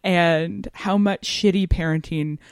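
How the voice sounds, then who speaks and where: A woman co-hosting a podcast, talking through a story in a casual, animated and emphatic way. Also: fairly low pitch, measured pace, no room sound, studio quality. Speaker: podcast co-host. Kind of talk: casual literary discussion chat.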